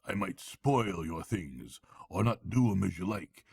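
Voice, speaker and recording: A man voicing a pompous, boastful male toad in a storybook reading, gravelly and husky. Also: low voice, animated, measured pace, no room sound, full character voice. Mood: nervous. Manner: airy bragging, turning nervous. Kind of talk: storybook character voice.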